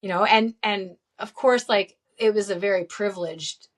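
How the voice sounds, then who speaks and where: A woman's voice: mid-range voice, normal speed. A woman in her late forties, a guest in a casual interview, telling her story with frequent laughs, ums and restarts. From upstate New York, raised in Saudi Arabia.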